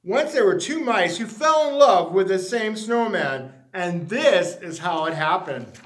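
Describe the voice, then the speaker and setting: A man reading a children's picture book aloud in an animated storytelling style with emphatic, deliberate phrasing. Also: high voice. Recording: roomy, echoey sound.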